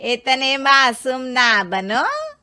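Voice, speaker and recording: A woman plays a stern Indian mother-in-law in a cartoon family tale. She is commanding and disapproving, scolding in slow, sarcastic phrases with a lively, high voice. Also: exaggerated voice. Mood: stern warning. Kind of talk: animated moral story acting.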